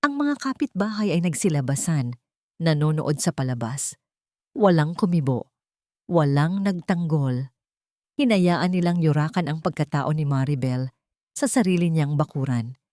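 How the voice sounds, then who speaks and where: A woman's voice: sits low, expressive, moderate pace, a little mellow, no room sound, studio quality. A woman with a Filipino accent reading a scripted dramatic audiobook story as narrator.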